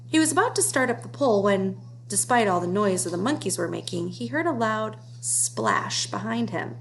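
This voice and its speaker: A woman's voice: mid-range voice, lively intonation, moderate pace, poor audio. A woman narrating a children's storybook aloud as its reader. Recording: clear background noise with hum.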